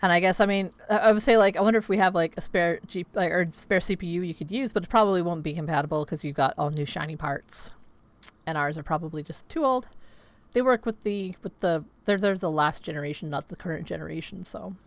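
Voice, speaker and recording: Young adult woman, casual livestream host, slightly scratchy, lower voice, chatty and meandering. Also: fast talker. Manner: rambling, filler-heavy, chatty. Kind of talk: casual chat with viewers. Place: home streaming setup with green screen.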